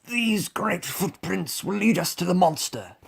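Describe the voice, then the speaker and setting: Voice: mid-range voice, sing-song, a little slow, creaky, breathy edge, voice pushed up, exaggerated voice. A storybook lion put on by a narrator, pompous and blustering yet cowardly. The voice is pushed up and swings widely. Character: blustering, cowardly old-chap lion, pompous but nervous.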